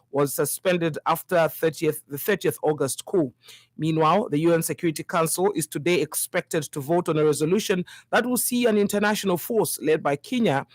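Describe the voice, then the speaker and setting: A woman's voice: on the low side, no room sound. A woman with a Zambian accent presenting a scripted radio news bulletin.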